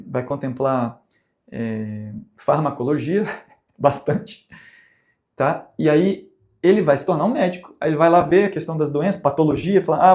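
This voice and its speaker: Man, Brazilian Portuguese accent, course instructor, rhetorical questions, fairly high voice, warm tone. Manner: conversational, asks rhetorical questions, acts out examples. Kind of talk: recorded teaching talk.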